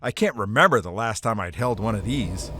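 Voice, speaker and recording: A man's voice: fairly low pitch, expressive, fairly quick, heavy background noise, natural voice. A man playing a cocky, wry, hardboiled hero in a detective audio drama. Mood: wry, confident. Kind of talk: first-person detective drama narration.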